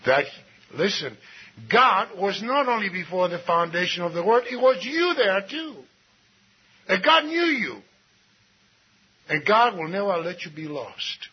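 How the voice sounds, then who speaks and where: A man preaching a sermon, swinging between low asides and raised exclamations and reading scripture slowly and deliberately. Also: very animated, breathy edge. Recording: dull lo-fi recording with faint noise.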